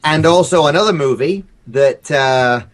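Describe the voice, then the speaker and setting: A man chatting casually about films as a podcast guest, talking in a halting, hesitant way. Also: a little high. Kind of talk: casual film chat.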